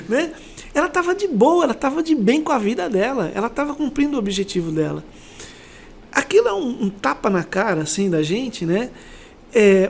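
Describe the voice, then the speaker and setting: A man's voice: sits high, creaky, slight background noise. A middle-aged Brazilian man speaking as an interview guest, telling personal motivational stories in a reflective, conversational way and often checking for agreement. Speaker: interview guest, company sales director.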